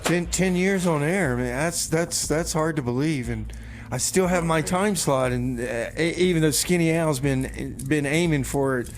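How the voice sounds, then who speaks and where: A man with a somewhat high voice hosts a community radio music show. His delivery is casual and offhand, with repeated phrases and comic banter. Clear noise sits behind him. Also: normal speed.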